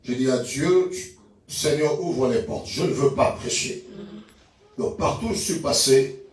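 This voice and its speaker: A man's voice: mid-range voice, unhurried, distant mic, slight background noise. A man from Angola, a guest pastor and not a native French speaker, preaching a loose, chatty sermon and drawing the congregation into call and response. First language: not French; English and Portuguese speaker. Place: church hall with congregation. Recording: echoey hall, heard from afar.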